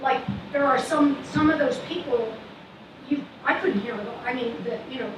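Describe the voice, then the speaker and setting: A woman's voice: high-pitched, normal speed. Older woman chairing, echoey distant mic. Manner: hesitant, many restarts. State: hard of hearing, wears hearing aids. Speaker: meeting chair. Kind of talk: public meeting discussion. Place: council meeting room. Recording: echoey room, distant mic, background noise.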